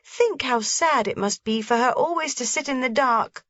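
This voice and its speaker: Woman doing a bright eager little girl. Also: high-pitched, normal speed, no echo, pitched above the performer's own voice. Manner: eager, pleading. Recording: dry, slightly dull recording.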